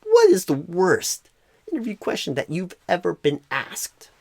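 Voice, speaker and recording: A man voicing a clueless, bumbling job recruiter in an ASMR roleplay, earnest and scatterbrained, with halting, oddly stressed phrasing and a husky, breathy voice. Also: sits high, huge pitch swings, darkish tone, heavily acted. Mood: earnest pep talk. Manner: halting, oddly stressed phrases in a Christopher Walken-style impression.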